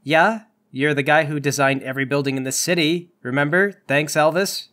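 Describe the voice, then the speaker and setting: A man's voice: a little high, fairly even intonation, moderate pace, a bit bright. A man running a tabletop roleplaying game, narrating and bantering in an exasperated, sarcastic way. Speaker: game master.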